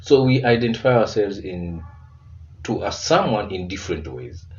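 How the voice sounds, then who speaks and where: A man with a Kenyan accent hosting a reaction video in casual commentary, relaxed and searching for words with emphatic bursts. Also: fairly low pitch, normal speed. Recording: distant muffled mic with faint hiss.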